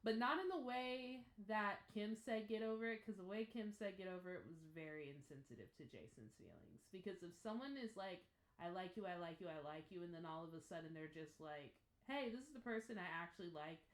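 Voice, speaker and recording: A woman giving a casual, expressive spoken reaction and review, emphatic with thoughtful pauses. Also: on the low side. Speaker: reaction show host. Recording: echoey room.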